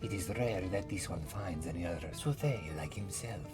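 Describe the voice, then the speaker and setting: A man voicing a very old, wry, courteous cat-folk former priest in a fantasy roleplay ASMR, softly, often slipping into a whisper, musing about himself in the third person. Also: low voice, normal speed, lightly acted. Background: music.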